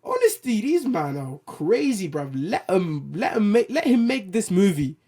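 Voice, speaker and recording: A man with a loud voice hosting a film and TV show, giving an animated, opinionated rant with casual swearing. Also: sits high, a little slow, husky. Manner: animated, blunt, swears casually, restarts sentences. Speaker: host of a film and TV news show. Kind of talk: opinionated solo commentary rant.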